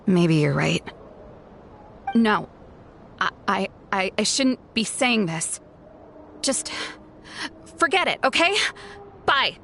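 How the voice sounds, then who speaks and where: A woman's voice: mid-range voice, big pitch swings, takes it slowly, vocal fry, pauses often, fairly bright tone, slight background noise, full character voice. A woman voicing a guarded, weary young woman in an anime-style video game, who speaks hesitantly. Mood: flustered, bitter. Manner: hesitant, sighing, breaking off mid-thought. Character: guarded, weary young woman trap-setter, doubting her people. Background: faint music.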